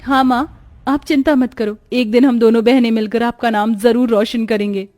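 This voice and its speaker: A woman's voice: a little high, steady tone, normal speed, darkish tone, close to an everyday voice. A woman voicing a caring, dutiful young village daughter in a cartoon story, with an earnest, solicitous manner. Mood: reassuring.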